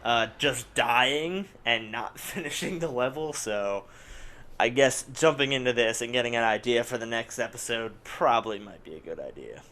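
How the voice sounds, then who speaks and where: A man who is sick with a raspy voice, playing a car combat game and rambling through casual commentary with lots of fillers. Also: mid-range voice, moderate pace. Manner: rambling, excitable, many fillers. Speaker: let's play gamer. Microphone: desk microphone at home.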